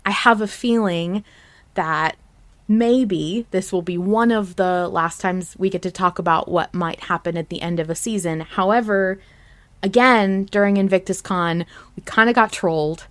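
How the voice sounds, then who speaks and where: A woman's voice: medium pitch, a little slow. A woman hosting a podcast, talking casually and with a fan's enthusiasm about a fiction show. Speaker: podcast host.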